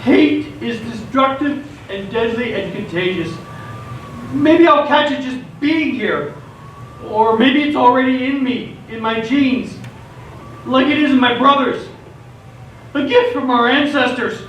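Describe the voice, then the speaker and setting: A man's voice: very high-pitched, a little slow, fairly bright tone, lo-fi sound. A man acting an anguished, bewildered teenage boy vlogger in a live radio theatre play. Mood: bitter, despairing. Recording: echoey theatre stage, distant mic, faint noise.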